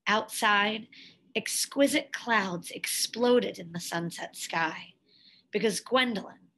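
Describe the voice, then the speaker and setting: A woman reading a picture book aloud expressively, in a measured way with dramatic pauses and emphasis. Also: mid-range voice, fairly bright tone. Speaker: read-aloud storyteller, teacher.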